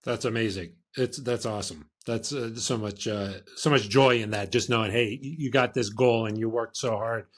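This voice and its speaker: A man's voice: fairly low pitch, moderate pace, hardly any pauses. A man hosting a sports podcast, asking questions in an easygoing way and agreeing often. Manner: easygoing, laughs along, many short agreements. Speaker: podcast host. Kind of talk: casual interview.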